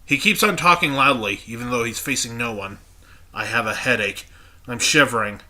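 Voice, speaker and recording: A man's voice: mid-range voice, moderate pace, bright, forceful tone. A congested man reading the uneasy inner monologue of a young female student in a game.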